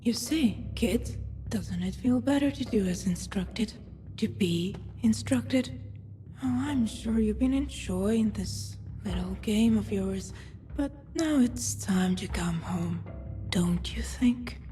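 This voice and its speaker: Woman playing a slow, mellow, condescending captor. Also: medium pitch, natural voice. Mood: smug, coaxing. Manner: patronising, slow, coaxing. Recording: long reverb, faint music behind.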